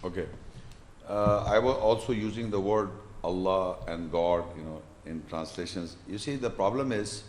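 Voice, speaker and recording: A man's voice: deep voice, normal speed, a little mellow, slight background noise. A man lecturing and answering audience questions, explaining patiently and repeating words as he builds his sentences. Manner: recites scripture verses in Arabic. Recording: echoey lecture hall.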